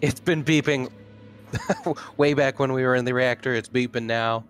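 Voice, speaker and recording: A man with a medium-pitched voice talks casually as a player at a tabletop game. Music plays behind him. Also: moderate pace.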